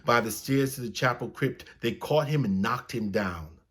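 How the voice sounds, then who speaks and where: A man reading a children's story aloud as a storyteller, with expressive, dramatic phrasing. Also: mid-range voice, normal speed.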